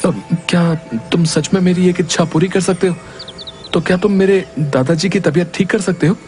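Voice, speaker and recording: A man's voice: high voice, steady tone, moderate pace, a little mellow, lightly acted. A man voicing a naive, easily startled young hero in a cartoon story, with a wondering, exclaiming manner. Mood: curious. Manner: wondering, exclaiming, talks to himself. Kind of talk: Hindi cartoon story voice acting. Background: music.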